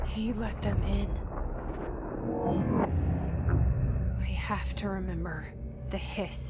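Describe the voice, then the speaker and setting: Actress doing a haunted, determined game heroine, slow n hushed, intense, big score under her. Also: mid-range voice, lo-fi sound, close to an everyday voice. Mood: resolute. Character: haunted, determined young woman, inward-looking new director. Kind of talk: dramatic video game narration. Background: loud dramatic music.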